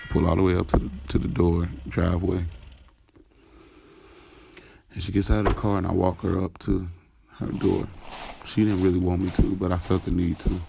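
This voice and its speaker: A man's voice: deep voice, creaky, mellow, slight background noise. A man playing a smitten driver in an audio drama, candidly recounting his night in informal, slangy first-person storytelling. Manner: informal, slangy, acting out dialogue. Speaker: narrator and lead character of an audio drama.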